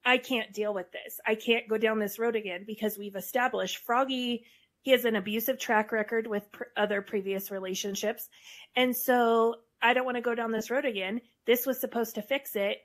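A woman's voice: on the high side, steady tone, moderate pace. A woman hosting a podcast, speaking casually and wryly as she paraphrases others. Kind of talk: casual conversational commentary.

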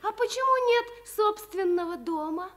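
A woman's voice: extremely high pitch, expressive, a little slow, a bit bright, full character voice. A woman playing an eager, insistent nine-year-old girl full of questions in a radio play. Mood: insistent, curious.

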